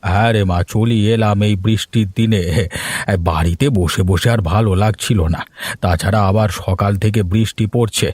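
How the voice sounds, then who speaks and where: A man voicing a kindly old grandfather in a Bengali moral-story cartoon, doting and unhurried. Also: fairly low pitch, fairly even intonation, darkish tone, no room sound, lightly acted. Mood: fond. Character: kindly old grandfather visiting his married daughter.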